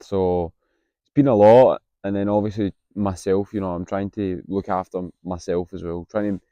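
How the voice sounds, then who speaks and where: A Scottish man in his late twenties, a little husky from being unwell, talking candidly to camera outdoors with plenty of hesitant ums. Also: low-pitched, steady tone, normal speed, mellow, no echo, muffled recording. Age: 20s. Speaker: vlogger, university student. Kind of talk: casual vlog to camera. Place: outdoors on a campus.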